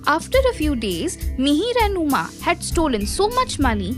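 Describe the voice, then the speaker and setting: A woman's voice: high-pitched, huge pitch swings, normal speed, over music. A woman narrating a scripted moral story for listeners.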